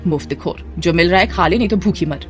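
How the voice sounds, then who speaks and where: A woman's voice: fairly low pitch, brisk, close to an everyday voice. A woman voicing a spiteful, stingy aunt in a moral story, sarcastic and scolding. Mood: mocking. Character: spiteful, sarcastic, stingy aunt, bullying her orphaned niece. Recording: music bed behind the voice.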